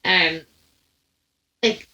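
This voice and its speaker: A woman's voice: drawn-out words, bright, forceful tone. A young Irish woman telling stories off the cuff as a podcast host, chatty and rambling with asides, on a stand microphone in a room at home. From Ireland. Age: young adult. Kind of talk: casual off-the-cuff storytelling. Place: small room at home, indoors. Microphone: microphone on a stand, close in front. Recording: roomy home recording.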